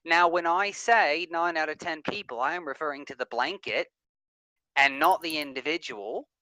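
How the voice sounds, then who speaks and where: A man's voice: fairly high pitch, moderate pace, pushed and bright, dry recording, rough recording. A man in a heated online debate over voice chat, talking over his opponent and restarting his sentences as he argues. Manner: talks over the other side, repeats and restarts under pressure.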